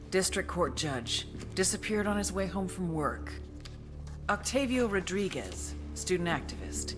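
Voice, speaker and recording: An actress playing a grim, outraged intelligence agent in a game cutscene, speaking in a measured, hard-edged way. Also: female voice, fairly low pitch. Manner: measured, hard-edged, foul-mouthed when angry. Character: grim, outraged intelligence agent woman recounting cartel horrors. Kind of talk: dramatic scripted narration in a game cutscene.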